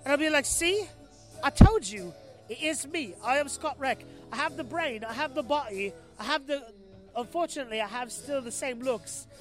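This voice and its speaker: A man's voice: extremely high pitch, a bit bright. A man talks casually in an English accent that sounds put on. He rambles through his stories, circling back over words and playing with them, while music plays behind his voice. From Milwaukee, Wisconsin, United States.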